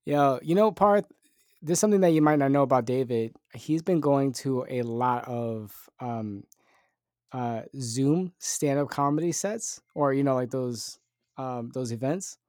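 A man's voice: mid-range voice, unhurried, a little mellow, no room sound, clean studio sound. A young man hosting a podcast in loose, rambling casual chat, full of fillers. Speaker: podcast host.

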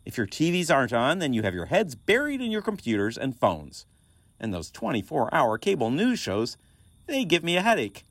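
A man's voice: fairly high pitch, wildly swooping pitch, moderate pace, no echo, exaggerated voice. A man playing a grumpy, lonely male ghost in an audio play, complaining with dry comedy. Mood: exasperated. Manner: complaining, dryly comic.

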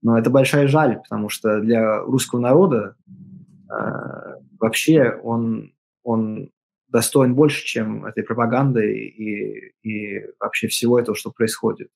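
A man from Latvia giving reflective interview answers, halting with many fillers and restarts. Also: lowish voice, fairly even intonation, vocal fry, darkish tone. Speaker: interview guest, project author.

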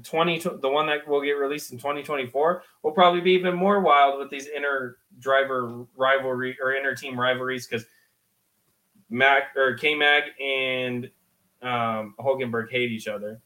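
Male sports podcast co-host, mid-pitched voice, chatty and slangy, explains in casual conversation. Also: fairly even intonation, normal speed, bright, forceful tone, low-quality recording. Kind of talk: casual motorsport discussion.

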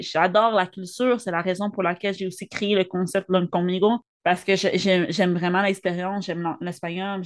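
A woman co-hosting a podcast, speaking earnestly in French with a Spanish tinge and stumbling over her first words. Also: on the low side. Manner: earnest, repeats words when starting sentences. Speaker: podcast co-host. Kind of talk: casual conversation.

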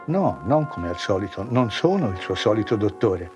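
A man voicing a patient male doctor who speaks slowly, gently and quietly. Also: fairly low pitch, sing-song, over music. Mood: calm. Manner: slow, gentle, hushed.

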